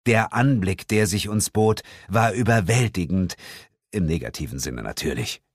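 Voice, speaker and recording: A man reads an audiobook in first person with a low, measured, theatrical delivery. He sinks even deeper for the grim beats. The sound is dry and close. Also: no echo. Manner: measured, theatrical, drops low for dramatic lines. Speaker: audiobook narrator.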